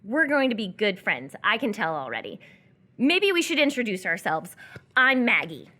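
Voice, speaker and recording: A coy, lonely young captive, acted by a woman in a radio drama. Her bright, expressive voice swings in pitch as she teases and sidesteps with small hesitations. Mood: friendly, wistful. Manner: playful, evasive, hesitant pauses. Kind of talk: live radio theatre dialogue.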